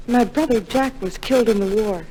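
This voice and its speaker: A woman's voice: on the high side, fairly quick, warm tone, close to an everyday voice. An actress playing an unself-conscious young small-town American woman, direct and a little guarded, in a 1950s radio drama. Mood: mild, sincere. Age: young adult. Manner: direct, demure. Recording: noisy old radio recording.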